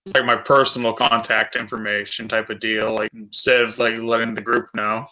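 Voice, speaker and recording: A man's voice: fairly low pitch, level tone, normal speed, sharp tone, low-quality recording. A man talking casually as a player in a tabletop game.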